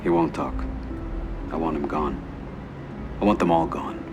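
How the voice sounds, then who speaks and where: A ruthless mob boss in a crime game. His voice is low and quiet, his phrases terse and coldly controlled, with music clearly behind him. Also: fairly even intonation, unhurried. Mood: cold menace. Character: cold, ruthless middle-aged mafia boss. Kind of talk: video game cutscene acting.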